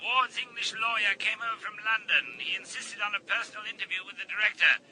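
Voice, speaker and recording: A dutiful junior detective in a radio play. His voice is high and very sharp, with faint noise behind it, as he delivers crisp, deferential reports. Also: fast, low-quality recording. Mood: brisk, dutiful. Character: dutiful male police subordinate reporting to his chief. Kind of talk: radio drama acting.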